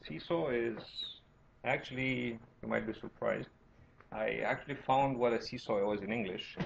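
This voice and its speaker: A man's voice: mid-range voice, moderate pace, gravelly, noisy background. A man from Romania with a Romanian accent, lecturing informally as a university teacher and often checking in with "right?" and "okay?". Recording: dull, lo-fi lecture recording.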